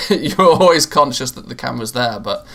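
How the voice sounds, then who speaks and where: A man's voice: medium pitch, expressive, moderate pace, gravelly, pushed and bright. A man streaming live and chatting casually with his viewers, rambling with many restarts and fillers.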